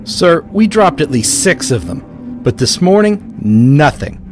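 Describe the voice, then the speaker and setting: An actor playing an anxious, insistent American army private in a war audio drama, blunt and pessimistic. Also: male voice, fairly high pitch, sing-song, takes it slowly, faint music behind.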